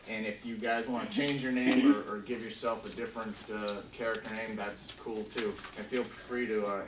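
A man leading a film table read as writer-director in an informal way, talking casually and reading script directions aloud. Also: lowish voice. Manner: informal, profane. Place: meeting room with a conference table. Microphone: distant camera microphone. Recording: roomy, distant camera mic, background noise.

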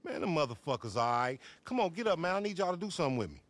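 A man playing a brash, boastful, foul-mouthed young American gangster stoner in a video game cutscene, with loud slangy swagger. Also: fairly high pitch, very animated, racing, dry recording. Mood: cocky, dismissive. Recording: music behind the voice.

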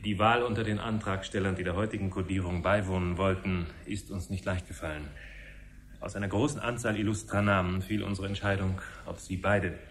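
Low-voiced, courteous official guide. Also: male voice, fairly even intonation, brisk. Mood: formal, courteous. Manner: polite, formal, explanatory. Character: courteous, formal male official guiding visitors through a command centre. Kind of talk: 1970s German radio drama acting. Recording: noisy 1970s radio broadcast recording.

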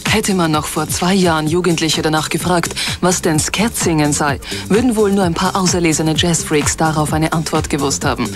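A woman reading scripted narration for a television documentary. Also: fairly low pitch. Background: music.

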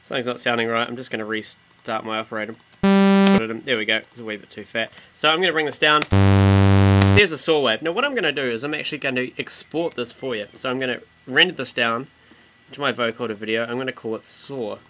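A man with a New Zealand accent explaining software step by step in an informal, slangy way as a tutorial presenter. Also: mid-range voice, fast. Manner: relaxed, casual slangy explaining. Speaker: tutorial presenter and music producer.

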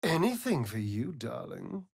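A sly vampire rogue from a fantasy video game, vain and sardonic. He drawls slowly in an arch, flamboyant way, and his pitch swoops theatrically. The recording is dry and close. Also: very animated, gravelly, slightly rough, dry recording, full character voice. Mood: teasing.

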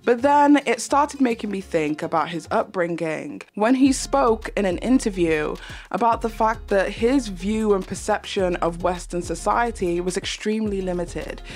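A young English woman giving a measured commentary to camera from her bedroom, holding a handheld mic. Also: lowish voice, darkish tone. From England. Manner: measured, explanatory. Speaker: YouTube commentator. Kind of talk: commentary think piece to camera.

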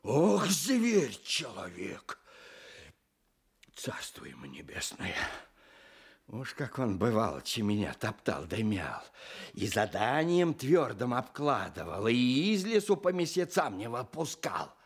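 An old religious village man in a radio play, real slow and sorrowful, voice goes up and down a lot. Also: mid-range voice, wildly swooping pitch, gravelly, breathy edge, exaggerated voice. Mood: sighing, lamenting. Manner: rustic storytelling with sighs and folksy exclamations. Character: pious old village stove-builder, sorrowful, earnest former exile. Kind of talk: Soviet radio play acting.

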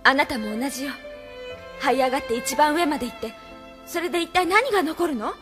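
Over clear background music comes a high female voice: an idealistic young captive, gentle yet defiant. She pleads earnestly in the heightened style of an anime audio drama. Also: lively intonation, a little fast. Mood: saddened, concerned.

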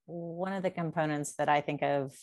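A middle-aged woman hosting an interview over a video call, asking slow, thoughtful questions. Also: deep voice, fairly even intonation, a little mellow, no room sound. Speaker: interview host. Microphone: video call with earphone mic.

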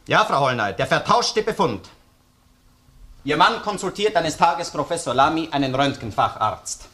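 A relentless male police detective from a crime radio play. His Austrian-accented voice is tense and rough-edged, at an ordinary male pitch. He probes insistently, with deliberate phrasing and a sardonic bite. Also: pushed and bright, heavily acted. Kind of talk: German-language crime radio play acting.

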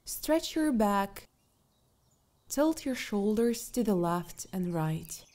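A woman leads a guided meditation at a very unhurried pace. Her tone is mellow and relaxed, with a medium pitch. She gives calm, soothing instructions with long pauses between them, over birdsong.

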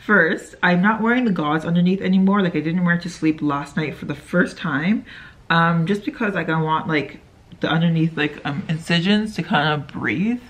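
A woman's voice: lowish voice, moderate pace. A young woman vlogging casually from a hotel bed, rambling and chatty with lots of fillers and self-corrections. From Canada. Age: young adult. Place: hotel room, indoors, sitting in bed. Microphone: phone camera held close.

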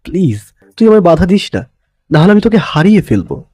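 A man's voice: high-pitched, sing-song, fairly quick, a little mellow, husky, no echo, exaggerated voice. A man playing an intense, possessive young lover in a romantic audio story, pleading dramatically and mixing English words into his speech. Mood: tender, pleading.

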